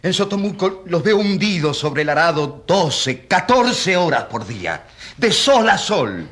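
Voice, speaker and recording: An actor playing a rural priest on trial in a courtroom radio drama, questioning insistently and defending peasants with indignant irony. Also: male voice, fairly high pitch, very animated, a little slow, fairly bright tone.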